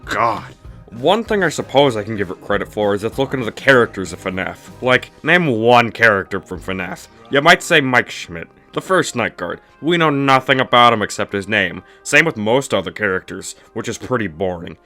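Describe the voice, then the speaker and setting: Snarky guy doing a scripted video review, kinda low voice, faint music behind him. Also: animated, normal speed, pushed and bright. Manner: snarky, dismissive, mock-exasperated. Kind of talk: scripted comedic review narration.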